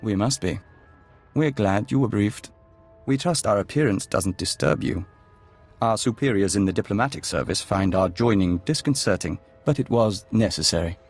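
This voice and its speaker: A man playing a serene, courteous hive-mind diplomat in a video game, speaking in a calm, formal, oddly detached way. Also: on the low side, moderate pace, breathy edge, soft background music. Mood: serene, polite.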